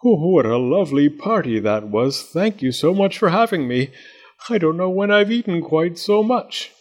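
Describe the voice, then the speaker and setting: A man voicing a gracious, greedy giant moth in a storybook, speaking slowly and courteously, in a raised, husky voice. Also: a little high, expressive, voice pushed up. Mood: contented. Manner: slow, drawn-out and courteous.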